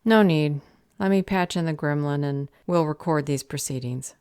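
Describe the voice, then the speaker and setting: A woman's voice: low-pitched, normal speed, darkish tone, clear, resonant tone, no room sound, studio quality, lightly acted. A woman playing an unflappable clerk at a magical government office in an audio roleplay, with an officious, matter-of-fact manner. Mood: efficient.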